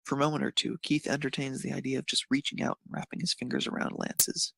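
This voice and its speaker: Male narrator, gravelly, husky, dry close recording, scripted fiction reading. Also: medium pitch, level tone, quick pace, pushed and bright, no room sound.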